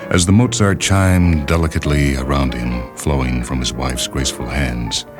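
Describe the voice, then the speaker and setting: A man reading a literary short story as a radio host, in a measured, deliberate and formal manner, on a 1970s radio recording. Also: rumbling low. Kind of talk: scripted literary narration. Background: music bed behind the reading.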